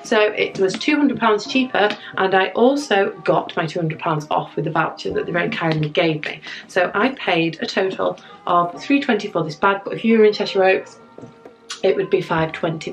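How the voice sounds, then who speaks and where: A young woman vlogger with a husky voice from a cold, chatting upbeat to viewers while unboxing a handbag in a room at home. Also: mid-range voice, brisk, soft background music, rough recording. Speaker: fashion vlogger. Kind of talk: chatty product unboxing review. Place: home room with framed prints, indoors.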